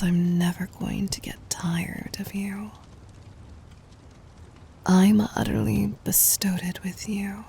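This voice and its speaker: A woman's voice: on the low side, a little hiss or hum. Whispering, adoring demon queen, acted by a woman. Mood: devoted. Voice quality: soft, breathy whisper. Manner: tender, formal, regal phrasing. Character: adoring, gently dominant demon queen lover. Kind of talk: whispered ASMR romantic roleplay.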